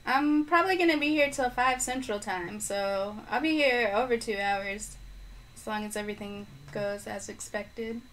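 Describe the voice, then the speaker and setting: A woman's voice: a little high, normal speed, fairly bright tone, a little hiss or hum, low-quality recording. A woman streaming a video game live, chatting casually as she thinks aloud and responds to viewers, with her microphone placed on the floor. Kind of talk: live gameplay stream commentary.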